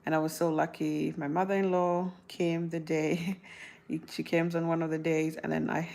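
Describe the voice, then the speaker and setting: A woman's voice: low-pitched, level tone, measured pace, gravelly, darkish tone. A woman in her thirties from South Sudan, chatting casually to her viewers with frequent laughs and restarts. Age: 30s. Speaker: vlogger answering viewer questions. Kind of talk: casual talk to camera.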